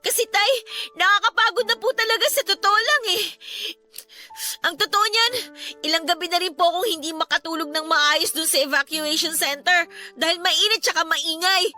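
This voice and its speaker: A woman's voice: very high voice, fairly even intonation, normal speed, bright, forceful tone, soft background music, full character voice. A woman playing a distressed, pleading teenage daughter from a poor family in a Tagalog radio drama. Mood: frustrated, weary. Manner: pleading, questioning, respectful to her father.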